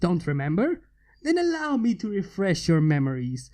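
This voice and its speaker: A man's voice: high voice, wildly swooping pitch, moderate pace, warm tone. A man voicing a cocky, teasing inventor in a story, with a casual, taunting manner. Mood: taunting menace. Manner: taunting, casual, mock-menacing. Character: cocky, teasing human male inventor with armored bodyguards.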